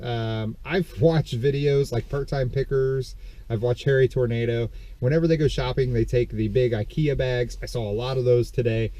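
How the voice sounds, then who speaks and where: Man, congested, casual vlogger, rambling, very mellow dark tone. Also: medium pitch, fairly even intonation, moderate pace. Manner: chatty, rambling, frequent ums and self-corrections. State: congested from dust allergy. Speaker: vlogger showing reseller finds. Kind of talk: casual haul video. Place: parked car. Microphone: camera on the steering wheel.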